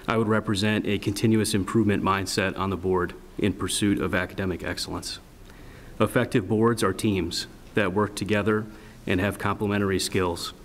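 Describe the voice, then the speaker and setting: Flat-voiced man in a reverberant hall. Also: lowish voice, a little hiss or hum. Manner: measured, formal, businesslike delivery. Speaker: school board candidate. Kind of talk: prepared opening statement.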